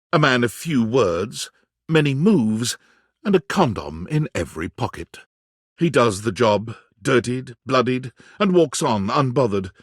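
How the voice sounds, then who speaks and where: Expressive male narrator, dry studio sound. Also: medium pitch, no echo. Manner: theatrical, wry storytelling with dramatic pauses. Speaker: game narrator. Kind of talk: scripted second-person narration.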